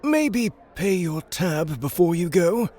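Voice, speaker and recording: A man's voice: sits high, sing-song, takes it slowly, darkish tone, pitched above the performer's own voice, heavily acted. Shrewd, joking tavern owner, played by a male narrator; dry, teasing.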